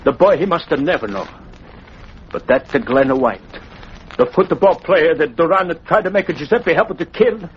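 A man's voice: on the high side, animated, moderate pace, lightly acted. An actor playing an anxious, pleading Italian immigrant father in a radio drama, speaking accented broken English and referring to himself in the third person. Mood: anguished. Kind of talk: 1940s radio acting. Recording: dull, noisy old radio recording.

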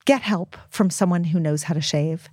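A woman's voice: fairly low pitch, normal speed, mellow, breathy edge, no room sound. A middle-aged American woman speaks as an expert guest, explaining in a conversational, plain-spoken way. She leans on key points and repeats them for emphasis. The sound is dry, with very little room. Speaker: guest expert and author on puberty.

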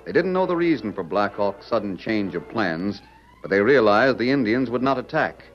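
An American actor playing the grave narrator of an old-time radio Western, reading in a measured, dramatic way. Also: male voice, on the low side, expressive, natural voice. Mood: portentous, dramatic. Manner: measured, portentous. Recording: muffled vintage radio, music behind.

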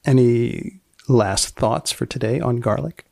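A man hosting a podcast, asking probing questions and reading narration in a deliberate, deadpan way. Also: medium pitch, vocal fry. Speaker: podcast host. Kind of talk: scripted narration and deadpan interviewing.

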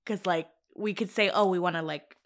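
A young woman talks through plans with her co-host in an easy, chatty way. She laughs often and keeps things upbeat. Her voice sits slightly on the lower side. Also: fairly even intonation, fairly quick. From Southern California. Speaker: podcast co-host. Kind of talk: casual chat.

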